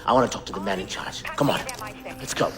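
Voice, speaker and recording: An actor playing a bitter, sneering double agent in a video game cutscene. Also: male voice, on the low side, fast, natural voice. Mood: panicked, furious. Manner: sneering, swearing. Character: bitter, defiant male double-agent villain. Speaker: enemy agent under interrogation. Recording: music behind the dialogue.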